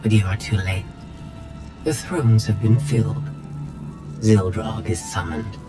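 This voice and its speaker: An actor voicing a cold, haughty machine villain in a video game, declaiming with contempt for living things. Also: male voice, fairly low pitch, measured pace, natural voice. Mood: cold, triumphant. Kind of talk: game cutscene acting. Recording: loud music behind.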